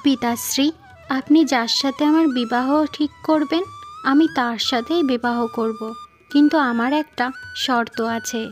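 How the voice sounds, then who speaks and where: A woman's voice: high-pitched, unhurried. A woman voicing a stubborn, dreamy young princess in a fairy-tale cartoon, polite but resolute. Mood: shy, firm. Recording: music under the voice.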